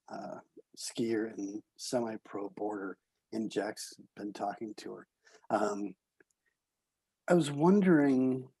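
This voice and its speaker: A man from the United States speaking casually and haltingly, putting a tentative question to a group. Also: fairly low pitch, vocal fry, mellow, husky, no room sound, rough recording.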